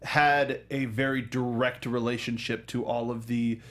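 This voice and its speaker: A man's voice: medium pitch, flat delivery, measured pace, a bit bright. A man hosting a film review show in casual discussion, often restarting and repeating his phrases.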